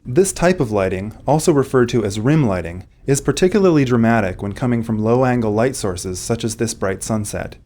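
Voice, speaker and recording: A man's voice: mid-range voice, lively intonation, brisk, few pauses, mellow. A man who is a game developer, reading scripted technical commentary.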